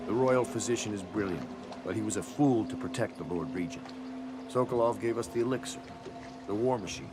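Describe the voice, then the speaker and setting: Dignified military leader for a game, male actor, deep, measured, grave, score behind. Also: close to an everyday voice. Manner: formal, measured, solemn. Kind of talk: video game voice acting.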